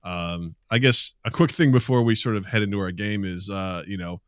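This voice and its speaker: A man's voice: lowish voice, moderate pace, a bit bright, dry recording, clean studio sound. A middle-aged man co-hosting a casual podcast, venting with swearing and acting out imagined exchanges. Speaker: podcast co-host.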